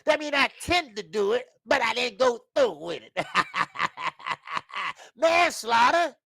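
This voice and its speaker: Gravelly, profane man in his fifties. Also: very high voice, very animated, takes it slowly, no room sound, studio quality. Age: 50s. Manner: loud, profane, rambling, greets viewers by name; frequent laughs and stretches of filler. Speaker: boxing show host. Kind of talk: live-stream commentary chat.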